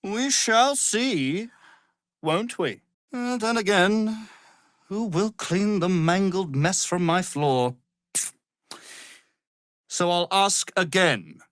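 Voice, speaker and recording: A man's voice: sits high, slow pace, pauses often, fairly bright tone, dry recording, clean studio sound. A man voicing an arrogant, power-hungry wizard in a fantasy game, with a theatrical, pompous, condescending delivery. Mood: disdainful, then smug, threatening.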